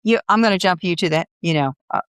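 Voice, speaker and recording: A woman's voice: lowish voice, fast talker, clear, resonant tone, dry recording, clean studio sound. A middle-aged woman hosting a podcast, chatting casually with her guest in an effusive, encouraging way. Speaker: podcast host. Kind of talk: casual interview chat.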